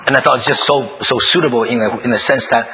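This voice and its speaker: A hoarse male guest pastor preaching a sermon in short phrases, pausing for an interpreter. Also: medium pitch, fairly even intonation, sharp tone, a little hiss or hum, low-quality recording. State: hoarse, losing his voice. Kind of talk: sermon delivered through an interpreter.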